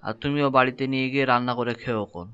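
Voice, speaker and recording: A man's voice: mid-range voice, very fast, sharp tone, clean tone, no echo. A man voicing a humble, hesitant village boatman in a cartoon folk tale. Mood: sly, friendly. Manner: colloquial, folksy, hesitant, repeating words. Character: humble boatman turned fisherman, wheedling, henpecked husband. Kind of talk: animated folk tale voice acting. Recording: dull lo-fi sound with music behind.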